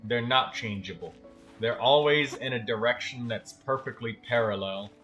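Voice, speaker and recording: A man's voice: medium pitch, moderate pace, bright, forceful tone, muffled recording, faint music behind. A man giving casual, instructive gameplay commentary in colloquial language, as a gaming host helping another player. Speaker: livestream game host.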